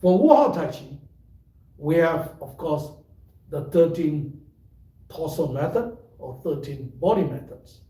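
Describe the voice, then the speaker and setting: A tai chi teacher with a mixed Malaysian and British accent, giving an unhurried, rambling livestream talk full of fillers. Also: male voice, on the high side, animated, mellow. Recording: echoey room, voice from far off.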